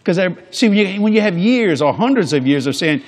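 A man's voice: sits high, expressive, fairly quick. An older man preaching a sermon on a large church stage before a congregation, folksy and jokey, acting out quoted people in different voices.

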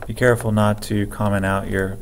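Man narrating a coding screencast: flat, gravelly, unhurried, light background hiss. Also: on the low side. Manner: slow, methodical, thinking aloud while typing. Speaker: programming teacher. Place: at a computer, keyboard typing heard.

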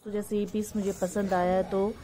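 A woman's voice: mid-range voice, little pitch movement, moderate pace, mellow, a little hiss or hum. A woman with an Indian accent chatting casually and inquisitively as a vlog host, mixing Hindi and English. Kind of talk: casual vlog commentary and chat with artisans. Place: outdoors in an open yard.

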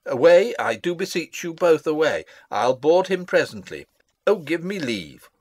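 A man's voice: on the high side, big pitch swings, unhurried, no echo, full character voice. A man playing a meddling, pompous old royal counsellor in a Shakespearean dramatic reading, deferential and ponderous. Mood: scheming.

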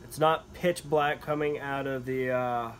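A man's voice: mid-range voice, a little slow. Young male beer vlogger, mid-range voice, loose and upbeat, recorded at a table in a small room with a faint hum behind. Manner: relaxed, enthusiastic tasting comments with frequent fillers. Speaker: beer reviewer. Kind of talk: casual beer review vlog. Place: small indoor room with wood-paneled walls.

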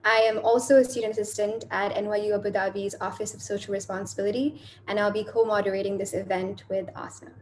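A woman in her twenties, raised in Botswana, reading a measured introduction as co-moderator over a webcam. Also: medium pitch, level tone, slight background noise, rough recording. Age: 20s. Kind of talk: scripted introduction. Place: indoor room. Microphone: video call webcam.

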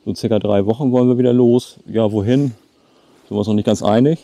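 Male travel vlogger, late 50s, casual delivery, very dark soft-edged tone, dry outdoor sound. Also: fairly low pitch, steady tone, quick pace, no echo. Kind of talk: casual chat to camera. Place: outdoors by a lake.

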